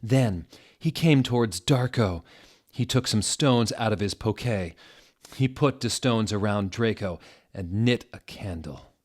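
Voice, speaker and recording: A man giving a theatrical, mock-serious dramatic reading of comic fan fiction in a deliberate narrator's manner. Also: fairly low pitch, expressive, a little mellow.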